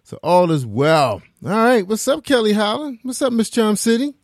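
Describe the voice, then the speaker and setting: A man's voice: high-pitched, animated, normal speed, no echo, studio quality. A man hosting an internet radio talk show in a casual, informal conversational style, often repeating his phrases.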